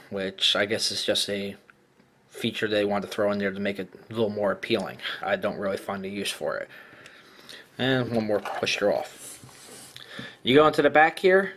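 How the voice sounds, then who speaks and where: A man's voice: fairly low pitch, fairly bright tone. A man giving a casual, hands-on product demonstration, explaining things in a plainspoken way. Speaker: product reviewer.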